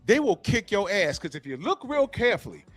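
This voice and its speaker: A man with a notably high voice who hosts a podcast, talking fast and loose with plenty of swearing. He acts out little quotes as he goes. The sound is dry and close, with faint music underneath. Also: no echo. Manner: animated, profane, storytelling with put-on quotes. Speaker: podcast host. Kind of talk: casual podcast chat.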